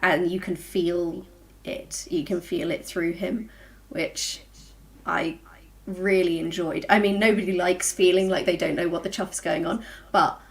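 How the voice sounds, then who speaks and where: A woman's voice: fairly low pitch, steady tone, unhurried, vocal fry. A woman, an author, chatting informally on a livestream, acting out little quoted asides as she talks. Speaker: author, fellow panelist. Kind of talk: casual livestream book chat.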